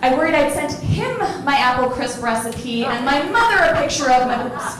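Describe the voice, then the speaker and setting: A woman's voice: high-pitched, expressive, normal speed, fairly bright tone. A woman in her forties from Ohio doing a self-deprecating stand-up comedy set, chatty and full of ums. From Ohio, USA. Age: 40s. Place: comedy club stage, large room. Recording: roomy live club recording with noise.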